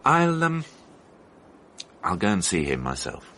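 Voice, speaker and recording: An actor playing a calm, methodical police inspector in a radio drama, questioning formally and pointedly. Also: male voice, deep voice, takes it slowly, slight background noise, heavily acted. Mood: quietly confident.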